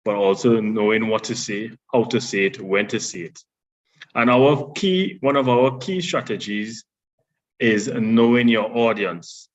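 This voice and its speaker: A deep-voiced man presents a public speaking workshop. He explains each point in a measured way and sometimes restarts a phrase. The sound is dull and lo-fi. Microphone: online session audio.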